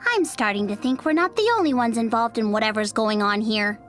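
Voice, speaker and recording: A woman playing a blunt, worrying young female soldier in a game, expressive and outspoken. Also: on the high side, fairly quick, faint music behind, natural voice. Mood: worried, puzzled. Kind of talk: dubbed game cutscene voice acting.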